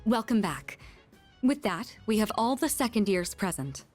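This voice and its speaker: A woman's voice: medium pitch, big pitch swings, a little slow, a little mellow, soft background music. A woman voicing a refined, commanding senior schoolgirl in a game, polite and formal. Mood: composed, courteous. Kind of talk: game voice acting.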